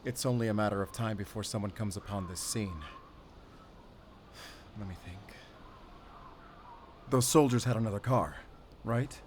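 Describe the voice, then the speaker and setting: A man's voice: lowish voice, normal speed, pauses often, darkish tone, natural voice. Man acting a resolute wolf hunter amid noise. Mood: tense, practical. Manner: urgent, commanding. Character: urgent, resolute male wolf hunter, protective of companion. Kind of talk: cinematic audio drama acting.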